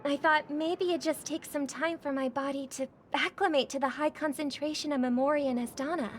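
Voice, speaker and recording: An actress playing a kind, graceful young singer in a video game, speaking politely and with composed sincerity. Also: female voice, high-pitched, fairly even intonation, a little fast, a little hiss or hum, close to an everyday voice. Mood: apologetic, grave. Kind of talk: video game cutscene acting. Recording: loud music under the voice.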